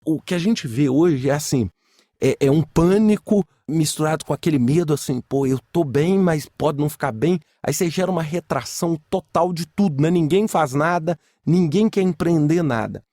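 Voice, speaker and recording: A man's voice: a little high, normal speed, dark tone, no room sound, clean studio sound. A man with a Brazilian accent hosting a podcast alone, speaking informally and emphatically, with repeated phrases and rhetorical questions. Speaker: podcast host. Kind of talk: informal solo podcast commentary.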